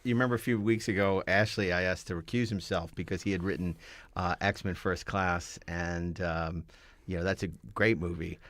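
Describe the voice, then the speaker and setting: Middle aged guy hosting a film chat, bit low, jokey at his own expense, dry sound. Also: steady tone, normal speed, fairly bright tone, no echo. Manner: wry, self-deprecating joking. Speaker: podcast host.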